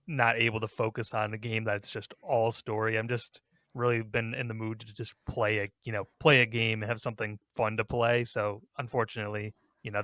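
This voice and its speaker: A man's voice: medium pitch, fairly bright tone, no room sound. Casual man chatting on a gaming podcast, dry sound. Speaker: podcast host.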